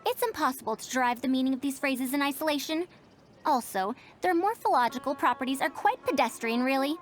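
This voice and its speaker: A woman's voice: high voice, brisk, slight background noise, close to an everyday voice. A woman playing a haughty, old-fashioned scholar in an anime video game, speaking precisely and pedantically. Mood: critical, puzzled. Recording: game audio over clear background music.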